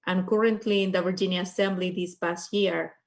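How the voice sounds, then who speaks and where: Peruvian-accented middle-aged woman, low voice. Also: fairly even intonation, fairly quick. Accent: Peruvian Spanish. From Peru. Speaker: state legislator, panelist. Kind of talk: panel introduction.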